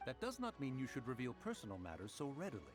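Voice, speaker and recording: Proud immortal, animated and stately. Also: male voice, medium pitch, fast, music in the background. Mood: indignant. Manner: stately, archaic, speaks of self as "one". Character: proud, formal immortal adeptus in human form. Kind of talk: video game voice acting.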